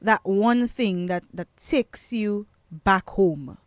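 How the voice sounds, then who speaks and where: A woman's voice: medium pitch, slow pace, dry recording. Dominican woman hosting, dry studio. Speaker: radio host. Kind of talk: casual radio interview. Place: radio studio.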